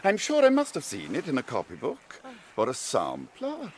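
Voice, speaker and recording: A man's voice: mid-range voice, huge pitch swings, normal speed, darkish tone, slight background noise. An actor playing a vain, courtly and slyly menacing foreign Count in a period radio drama, mocking and theatrical as he relishes every word. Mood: sardonic.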